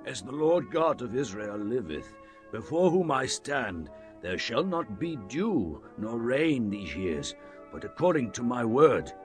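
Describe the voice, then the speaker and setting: Slow, solemn prophet with sweeping pitch. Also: male voice, big pitch swings, faint music behind. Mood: stern, commanding. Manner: declamatory, archaic Biblical phrasing. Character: solemn, fervent male Hebrew prophet. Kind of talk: dramatized Bible reading.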